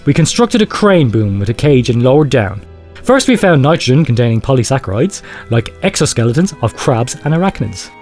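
A man playing a grave researcher, telling a horror confession in a measured, clinical and ominous way. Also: medium pitch, very animated, darkish tone, faint music behind, close to an everyday voice. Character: grave male researcher confessing secret horrific experiments. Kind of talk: dramatic horror storytelling, read aloud.

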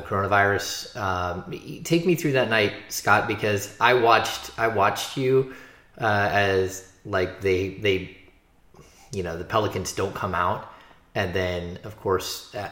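A man hosting a casual sports interview, asking rambling, halting questions with restarts, recorded in a roomy, echoey space. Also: deep voice, a bit bright. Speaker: podcast host, sports interviewer.

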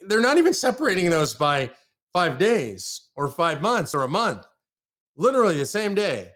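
A man's voice: sits high, sing-song, moderate pace, a bit bright. American guy from california ranting hard on a live show, heated, repeats himself for punch. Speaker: political show host. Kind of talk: live political commentary.

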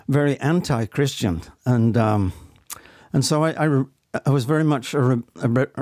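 A man in his seventies with an English accent, speaking reflectively and haltingly as an interview guest. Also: medium pitch, dark tone, no room sound, clean studio sound. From England. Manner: halting, deliberate.

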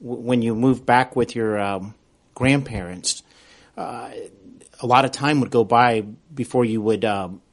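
A man with a mid-pitched voice acts as a podcast interviewer. He talks conversationally and hesitantly, often restarting a phrase before he gets to the end of it. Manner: hesitant, halting phrasing with repeated starts.

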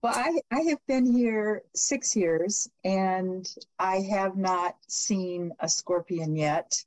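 A woman guest on an interview podcast, her voice in a middle pitch. She talks in an easygoing, friendly way. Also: takes it slowly, a little mellow, rough recording. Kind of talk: casual interview chat.

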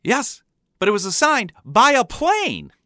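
A precocious, stubborn boy from a comic family story, as a man acts him out. The voice is pushed high and bright. He argues insistently, with animated, indignant swings. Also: a little slow, no echo, clean studio sound, heavily acted. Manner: insistent, argumentative, high-strung.

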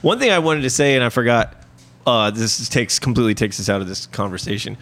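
A man's voice: mid-range voice, animated, quick pace, fairly bright tone. A man co-hosting a podcast live in a coffee shop, joking and swearing, laughing often. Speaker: podcast co-host. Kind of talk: casual live podcast banter.

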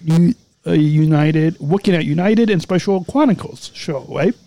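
A man's voice: a little high, moderate pace, dark tone, no room sound. A man co-hosting a casual podcast, signing off with repeated, stumbling, upbeat plugs. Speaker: podcast co-host.

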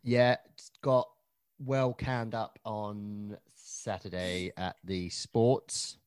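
A man's voice: lowish voice, fairly even intonation, drawn-out words, a little mellow, clear, resonant tone, no echo. A man co-hosting a casual podcast, swearing freely in blunt banter. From England. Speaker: podcast co-host. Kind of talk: casual chat.

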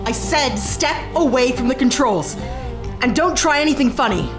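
A woman's voice: high voice, lively intonation, moderate pace, music in the background. An actress playing a bossy, self-important, impatient female space mercenary in a sci-fi audio drama, commanding and exasperated.

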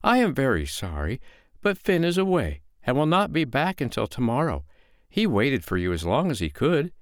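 A man voicing a calm, sly foster mother in a storybook, with a lilting, mock-innocent, needling way of talking. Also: medium pitch, normal speed, dry recording, studio quality, pitched above the performer's own voice. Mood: calm, teasing.

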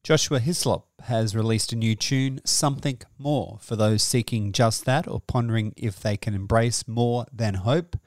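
Male music podcast presenter. Middle-register voice, earnest and measured, dry studio sound. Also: mid-range voice, no echo. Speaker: podcast host. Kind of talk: scripted music-news presenting.

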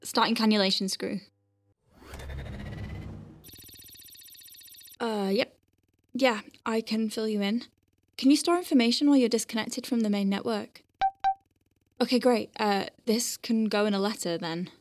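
A woman's voice: a little high, normal speed, a little hiss or hum, natural voice. A woman playing a dry-witted, capable doctor on a space station, dictating clinical notes with wry conversational asides in an audio drama. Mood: brisk, wry. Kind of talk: science-fiction audio drama, spoken log.

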